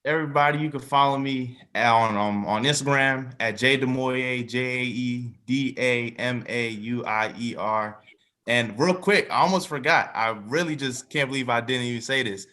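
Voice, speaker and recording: A man with a bright, forceful voice at medium pitch. He talks casually as a performer and guest, promoting an upcoming show. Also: moderate pace. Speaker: singer and cast member, interview guest.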